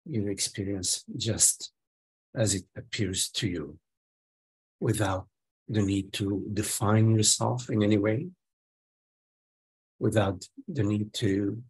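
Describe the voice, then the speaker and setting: A man leading a guided meditation as a teacher, giving gentle instructions in sparse phrases with long pauses between them. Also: on the low side, breathy edge, low-quality recording.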